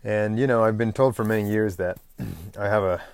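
A man hosting his own podcast, talking off the cuff in a loose, rambling way, often pausing on "um" and clearing his throat. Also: on the low side, no echo. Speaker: podcast host. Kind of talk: unscripted solo podcast monologue.

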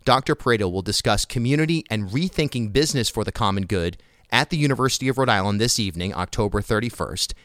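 A man hosting an interview podcast, speaking in an articulate, measured way with a clear, smooth voice. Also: fairly low pitch, expressive, fairly bright tone, no room sound, clean studio sound. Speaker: podcast host.

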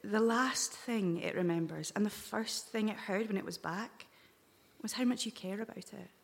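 A woman's voice: medium pitch, normal speed, gravelly, lightly acted. A woman playing a practical, kindly crewmate in a live audio drama, with a careful, slightly hesitant way of talking. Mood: gently consoling. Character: practical, kindly female crewmate logging recordings. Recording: echoey live hall, reverberant.

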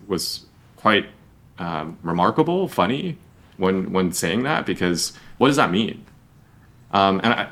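Deep voiced young guy hosting a podcast, chatty, rambles a bit with his questions. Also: a bit bright. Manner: conversational, rambling questions with fillers. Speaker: podcast host. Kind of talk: podcast interview.

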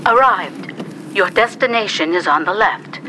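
A woman's voice: medium pitch, lively intonation, a little slow, pushed and bright, slight background noise, poor audio. A woman voicing a cool, sassy phone navigation assistant in an audio play. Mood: neutral announcing.

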